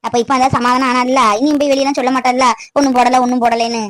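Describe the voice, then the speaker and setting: A woman's voice: high voice, little pitch movement, very fast, no room sound, close to an everyday voice. A woman plays a fussing, protective aunt of middle age in a family drama. She is chatty and insistent, with a southern Tamil Nadu accent and a dry, close sound. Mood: aggrieved, confiding.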